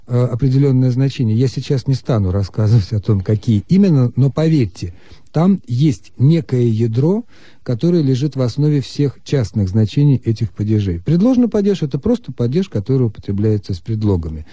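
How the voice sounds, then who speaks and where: A man calmly explaining Russian grammar as the expert on a radio call-in show. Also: medium pitch, husky, no echo. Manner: measured, lecturing. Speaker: language expert answering listeners.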